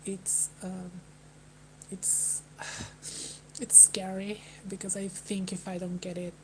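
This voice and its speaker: Young woman, from Brazil, hesitant, flat monotone, hum and noise behind. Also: mid-range voice. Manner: hesitant, halting, with many restarts and sighs. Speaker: livestreamer. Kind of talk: personal talk to a live chat. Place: Melbourne, indoors.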